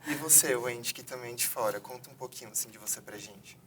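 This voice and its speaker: A man's voice: medium pitch, quick pace, distant mic, background noise. A man hosting a university videocast from a theater, reading formal introductions. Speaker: host.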